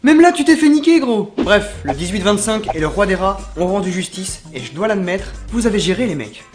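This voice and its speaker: A man's voice: high-pitched, sing-song, brisk. A man delivering a scripted comedic rant as a YouTube commentator, vulgar, sarcastic and mocking. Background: background music.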